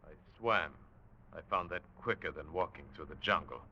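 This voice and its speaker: Deep-voiced, grimly determined hunted man. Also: sharp tone, husky, heavily acted. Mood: quiet, menacing. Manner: dramatic, taut, deliberate storytelling. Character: hunted American man, intense, grimly determined adventurer narrating. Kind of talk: 1940s radio drama narration. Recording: noisy, dull 1940s radio recording.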